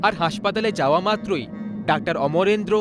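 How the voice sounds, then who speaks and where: A man's voice: sits high, fairly quick. A man delivering scripted narration of a horror story as a storyteller. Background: music.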